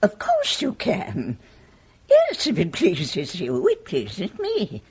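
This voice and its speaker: A genteel English lady in her eighties, her voice swinging very widely in pitch. She is gracious and refined, with upper-class vowels, heard on a slightly dull radio play recording. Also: wildly swooping pitch, takes it slowly, darkish tone, slightly rough, exaggerated voice. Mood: gentle, indulgent. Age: 80s. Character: genteel, kindly elderly widowed lady employer. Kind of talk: British radio drama acting. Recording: muffled vintage radio recording.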